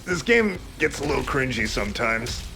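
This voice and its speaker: A man gives casual let's play commentary. His mid-pitched voice swings widely and animatedly. Faint music plays underneath. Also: big pitch swings, normal speed, vocal fry. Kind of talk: casual joking gameplay commentary. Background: faint game music.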